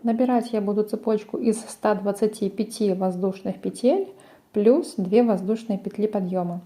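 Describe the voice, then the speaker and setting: A woman's voice: mid-range voice, normal speed, warm tone. A woman presenting a crochet tutorial, explaining each step in a methodical way. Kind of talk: step-by-step craft instruction.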